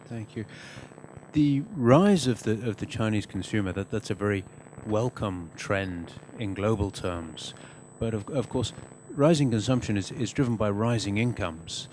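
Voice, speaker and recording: A man moderating a conference panel, posing questions in a measured way with pauses mid-phrase. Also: lowish voice, a little mellow. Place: conference stage, indoors. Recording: faintly noisy conference hall microphone.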